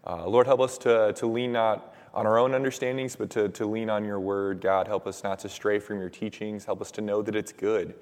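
A young man with a slightly low voice, hoarse and raspy after days of shouting, leads a sermon and prayer. He talks in an earnest, casual way, full of "uh" and "um". His words ring out with the long echo of a church hall. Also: level tone, normal speed, hardly any pauses. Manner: casual, filler-heavy, earnest. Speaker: preacher.

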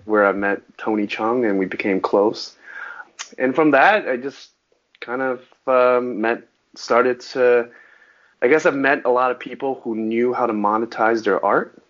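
A man who grew up speaking Mandarin, a guest on a casual interview podcast, rambling with lots of restarts. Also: fairly low pitch. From Southern California. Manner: rambling, frequent restarts, animated on sports. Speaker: guest, actor and musician. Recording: dull lo-fi podcast audio.

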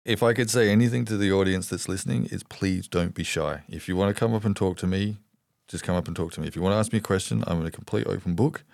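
Very deep, gravelly Australian man. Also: quick pace, a little mellow, dry recording, studio quality. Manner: hesitant, repeats words, many ums. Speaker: podcast guest. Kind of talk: candid interview conversation.

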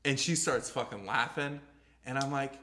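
A man's voice: medium pitch, normal speed, pushed and bright. Casual rambling young podcast co-host, a man. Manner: rambling, swears often, laughs easily. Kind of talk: casual chat.

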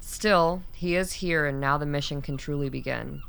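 An actress playing a composed, commanding woman doctor in a radio play, speaking in a measured, formal manner. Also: low voice, vocal fry, a bit bright, slight background noise. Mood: calm, businesslike.

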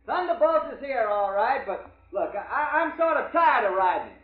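An actor playing a hearty, folksy cowboy star addressing children in a projected 1940s radio drama style. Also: male voice, extremely high pitch, very animated, normal speed. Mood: coaxing. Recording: echoey, noisy, muffled old radio recording.

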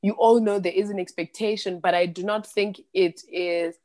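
A woman's voice: mid-range voice, fairly even intonation, moderate pace, dark tone. A woman in her late thirties who grew up in Zimbabwe, a podcast guest talking in a thoughtful, rambling way with many restarts. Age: 30s. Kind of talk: casual interview chat.

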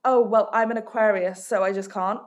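A woman's voice: mid-range voice, steady tone, a little fast, lo-fi sound. A woman from Hong Kong, working as a life coach and author, giving direct and emphatic answers in a podcast interview.

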